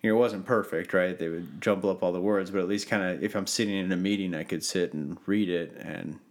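Male podcast co-host, lowish voice, informal conversational delivery. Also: fairly even intonation, a little fast. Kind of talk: casual chat.

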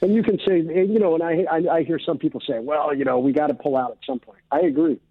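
A man's voice: on the high side, fairly even intonation, fast talker, breathy edge, no echo. American male, middle-aged veteran type, earnest and emphatic interview guest, dry lo-fi radio sound. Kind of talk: earnest opinion talk.

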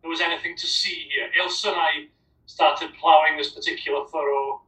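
Welsh-accented man, bright and roomy. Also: a little high, fairly even intonation, normal speed. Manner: discursive, many restarts and "you know". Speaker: academic panelist. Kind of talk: panel discussion answer. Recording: roomy, distant mic.

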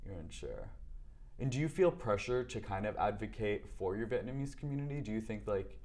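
A man hosts a podcast with a somewhat low voice. He talks in a chatty, expressive way, his questions rambling on. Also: fairly quick. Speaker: podcast host. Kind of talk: casual interview chat.

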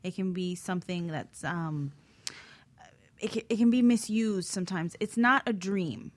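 A woman co-hosting a talk radio show, describing ideas in vivid, animated detail. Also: fairly low pitch, unhurried, no echo. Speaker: co-host.

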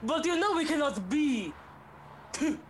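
A woman's voice: high-pitched, big pitch swings, unhurried, slight background noise. A young woman acting a melodramatic young heroine in a student comedy radio play, sprinkling in Spanish words. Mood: dramatic. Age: young adult. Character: young woman torn between duty and an old love.

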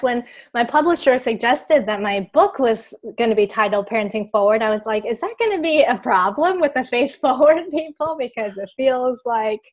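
A woman from Taiwan, an author and guest on a podcast, speaking thoughtfully with frequent ums. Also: on the high side. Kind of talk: podcast interview.

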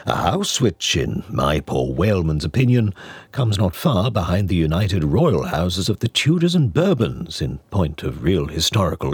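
A man narrates a classic novel in a low, very husky voice. His delivery is theatrical and deliberate, with pitch that moves widely as he leans on key phrases. It is polished storytelling for an audiobook. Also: no echo. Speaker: audiobook reader. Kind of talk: scripted literary narration.

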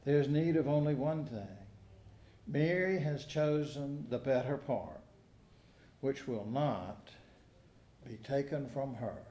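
Mellow-voiced pastor in an echoey church. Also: male voice, medium pitch. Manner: storytelling, measured and earnest. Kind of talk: sermon with scripture reading. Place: church sanctuary.

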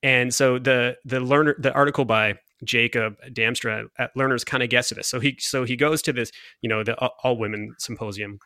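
A deep-voiced man in casual conversation, thinking aloud and circling back on his words. His tone is bright and forceful. The recording is dry and close, with no room sound. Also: fairly even intonation, fairly quick, hardly any pauses, no room sound. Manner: thoughtful, restarts often, reads quotes aloud.

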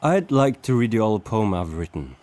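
A man's voice: fairly low pitch, sing-song, brisk. A man playing an earnest, sensitive band member who is eager to please, in an amateur radio comedy play. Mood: solemn, sad.

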